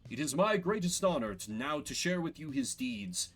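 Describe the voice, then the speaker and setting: A man's voice: fairly high pitch, big pitch swings, normal speed, a bit bright, voice pushed up. A man voicing a solemn, formal scribe who reverently recites an exiled emperor's tale from a video game. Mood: reverent, grave. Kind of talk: storybook-like game text read in character.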